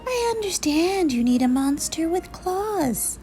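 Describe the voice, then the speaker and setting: A woman voicing Ralph, a prim, vain, well-groomed male monster in a children's storybook, with a high silky voice and slow, formal manner. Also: very animated, mellow, faint music behind, heavily acted. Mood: courteous.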